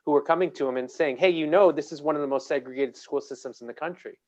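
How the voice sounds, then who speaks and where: A man co-hosting a podcast who speaks in a measured way and steers the conversation. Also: a little high, darkish tone, muffled recording.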